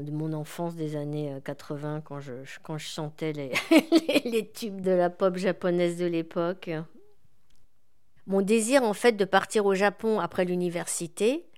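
A woman in her forties, a guest in an interview, speaking reflectively with many pauses and fillers. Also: lowish voice, a little mellow. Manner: reflective, hesitant with frequent fillers. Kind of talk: conversational interview.

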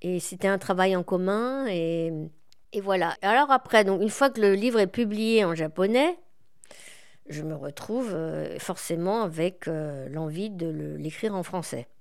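A woman in her forties, a guest in an interview, speaking reflectively with many pauses and fillers. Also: fairly low pitch, animated. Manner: reflective, hesitant with frequent fillers. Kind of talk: conversational interview.

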